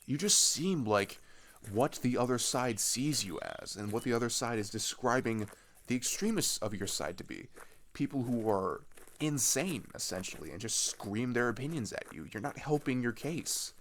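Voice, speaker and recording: A man's voice: medium pitch, lively intonation, normal speed, a little hiss or hum, natural voice. A young man playing an earnest, frustrated teenage boy who rambles with hesitant restarts in a casual audio roleplay. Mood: frustrated, venting. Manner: rambling, exasperated, hesitant restarts and filler words. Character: earnest, frustrated teenage male migrant venting about politics.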